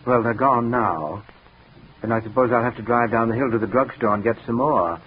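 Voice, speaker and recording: An actor playing a brooding, restless composer brother in a formal, theatrical 1940s radio drama style, on a muffled old recording. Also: male voice, medium pitch, brisk, a little hiss or hum, natural voice. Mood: fretful.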